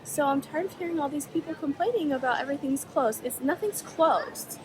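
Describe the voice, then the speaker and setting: A woman's voice: high voice, brisk, background noise. A woman vlogging in a chatty, upbeat way, her voice a little worn. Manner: chatty, upbeat, talks straight to camera. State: slightly losing her voice. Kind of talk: casual vlog narration.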